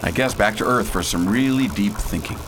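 A man playing a bold scientist who leads a space crew in a radio drama, brisk, folksy and commanding. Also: fairly low pitch, loud music behind the voice, close to an everyday voice. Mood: grave, determined. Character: bold, decisive space-hero scientist and leader.

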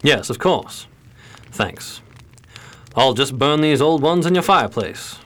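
Suave, animated 1920s gentleman gambler. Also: medium pitch, takes it slowly. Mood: earnest, scheming. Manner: polished, courteous. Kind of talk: 1920s crime radio drama acting.

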